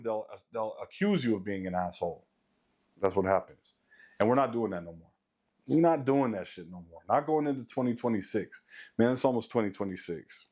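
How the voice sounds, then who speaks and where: A man in his late thirties with a deep voice, giving a blunt, profane motivational rant to his viewers as a vlogger. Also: darkish tone. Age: late 30s. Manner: blunt, profane, emphatic repetition. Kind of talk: casual motivational rant to camera.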